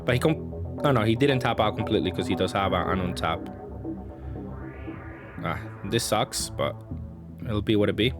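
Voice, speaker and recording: A man's voice: lowish voice, moderate pace, long pauses. Casual male gamer over prominent music. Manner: thinking aloud, hedging. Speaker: card game player and commentator. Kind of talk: casual gameplay commentary.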